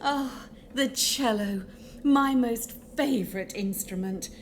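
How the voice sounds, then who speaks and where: A woman's voice: fairly high pitch, slow. A woman playing a vain, theatrical ghost who was once an opera singer, speaking grandly in an audio drama. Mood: wistful, boastful. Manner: grand, self-important, dramatic. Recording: noticeable background noise.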